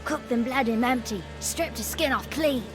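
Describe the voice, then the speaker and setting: A woman's voice: fairly high pitch, normal speed, close to an everyday voice. A woman playing a matter-of-fact, impudent peasant orphan child in a video game, with blunt rustic speech and music behind. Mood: gleeful chanting. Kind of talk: video game character acting.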